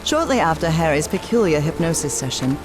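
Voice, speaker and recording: A woman's voice: deep voice, big pitch swings, brisk, music in the background. A woman acting in a game as an affectionate, playful wife who also narrates with a wry touch. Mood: wry, storytelling. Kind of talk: dramatic game narration and dialogue.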